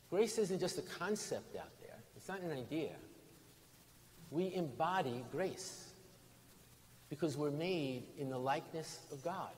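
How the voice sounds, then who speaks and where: An older American man, a bishop, preaching a sermon in deliberate, emphatic phrases with pauses and repetition. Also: a little high, darkish tone. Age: 60s or older. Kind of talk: sermon with storytelling. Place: large stone church interior, at lectern. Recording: reverberant church hall, background noise.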